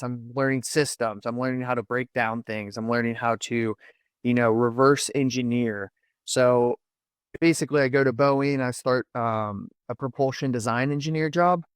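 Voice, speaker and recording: A man's voice: mid-range voice, smooth, no echo, clean studio sound. A man raised in the US and Denmark, whose first language is Danish, telling his career story as a podcast guest in an eager, running style. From United States and Denmark. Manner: enthusiastic storyteller, repeats "I'm" phrases. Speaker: podcast guest, video studio founder. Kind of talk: casual interview talk.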